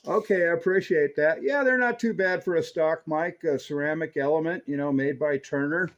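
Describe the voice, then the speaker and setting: A man's voice: on the high side, moderate pace, muffled recording. An American man chatting casually and enthusiastically over CB radio, explaining things like a keen hobbyist.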